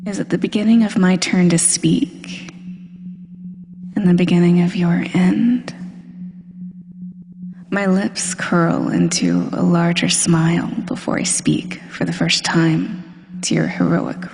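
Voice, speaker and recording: A woman playing a smug, domineering female supervillain hypnotist in a scripted roleplay audio, breathy and deliberate, often dropping into a whisper. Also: fairly low pitch, lively intonation, darkish tone, a little hiss or hum, exaggerated voice. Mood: hypnotic, confident. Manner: slow, deliberate, hypnotic, commanding. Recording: long hall-like reverb.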